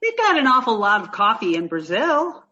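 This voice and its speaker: A woman's voice: a little high, huge pitch swings, normal speed, pushed and bright, muffled recording, heavily acted. A woman playing a cheerful, naive, blunt young roommate in a 1940s-style radio comedy. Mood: blunt, earnest. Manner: naive, matter-of-fact.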